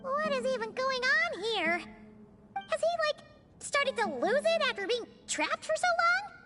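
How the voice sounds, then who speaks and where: A tiny excitable fairy girl squeaks in a very high, bouncy voice, talking about herself in the third person. She sounds indignant in a video game scene. Loud cheerful music plays under her. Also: a little slow, full character voice.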